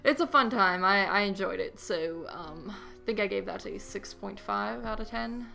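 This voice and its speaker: A woman's voice: medium pitch, moderate pace, creaky, bright, forceful tone, faint music behind. A woman co-hosting a movie podcast, chatting casually and informally with somewhat hesitant phrasing.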